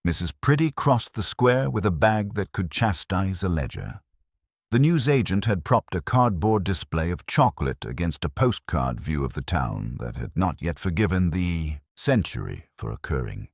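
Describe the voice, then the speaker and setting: Very deep, husky male mystery narrator. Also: dry recording. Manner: measured, dry, wry, formal delivery. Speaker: solo narrator of a detective story. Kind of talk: scripted literary narration of a mystery drama.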